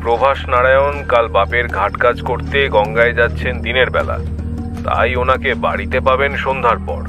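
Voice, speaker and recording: A man's voice: mid-range voice, normal speed, music in the background, lightly acted. A man playing a businesslike acquaintance relaying news in a Bengali audio story, matter-of-fact and informative.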